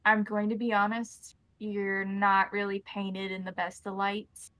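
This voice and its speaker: A woman acts out a captive vampire drifter in a tabletop roleplay. She is a mouthy, wary woman with a bright, pressing voice, frustrated and rambling as she bargains. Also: medium pitch, flat delivery, a little slow, dry recording, natural voice. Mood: wary, candid.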